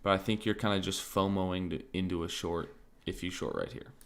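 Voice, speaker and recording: A man streaming crypto market commentary in a casual, thinking-aloud way, his voice slightly raspy and often interrupted by throat clearing. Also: deep voice, fairly quick. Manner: relaxed, thinking aloud, many fillers like "like" and "um".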